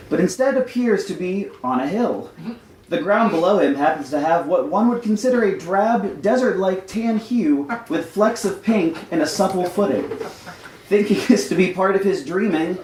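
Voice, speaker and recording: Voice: mid-range voice, darkish tone, slight background noise. A comedy storyteller reading a scripted tale live in an amused, mock-formal storybook manner. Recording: echoey live room.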